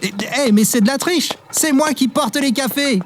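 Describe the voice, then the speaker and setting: A man's voice: very high-pitched, normal speed. An actor playing a clumsy, good-hearted young man in a French audio drama, hesitant and boyish in his manner. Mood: panicked, playful. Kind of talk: French audio fiction acting with narration. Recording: music behind the voice. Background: music.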